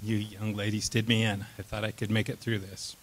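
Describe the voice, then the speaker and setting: A man reading his own first-person story aloud on stage, deliberate, pausing between short phrases. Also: lowish voice, flat delivery, slight background noise. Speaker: reader of his own written piece.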